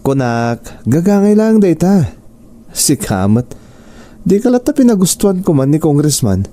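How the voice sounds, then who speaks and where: A man's voice: on the high side, big pitch swings, a little slow, mellow, no room sound. A Filipino actor playing a callous, self-serving male partner, dismissive and matter-of-fact, in a radio drama. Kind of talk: Ilocano radio drama acting.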